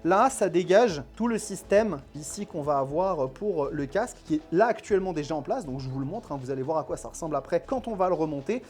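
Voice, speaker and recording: High-pitched Frenchman presenting over music. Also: expressive, fairly quick, few pauses, a little mellow. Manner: chatty, addresses viewers directly. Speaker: motorcycle YouTube host. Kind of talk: casual explainer, product unboxing and demonstration.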